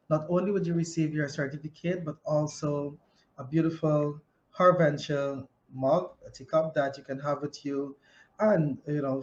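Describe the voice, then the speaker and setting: Male Guyanese business show presenter, slightly high voice, semi-formal updates, a little muffled recording. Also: darkish tone.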